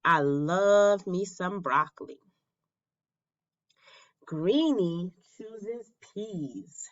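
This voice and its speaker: A woman reading a children's picture book aloud as a teacher, with simple, deliberate phrasing and questions for young listeners. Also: lowish voice, animated, dull audio.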